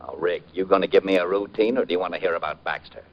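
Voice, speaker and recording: An actor playing a gruff, businesslike police lieutenant in an old-time radio crime drama, speaking in a clipped, matter-of-fact way. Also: male voice, low voice, fast, natural voice. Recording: muffled vintage radio broadcast.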